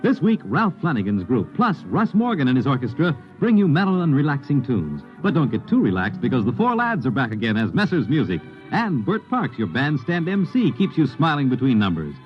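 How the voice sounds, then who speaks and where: A man's voice: medium pitch, huge pitch swings, a little fast, hardly any pauses, faint music behind. A man reading a promotional announcement in 1950s radio style. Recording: dull vintage radio recording.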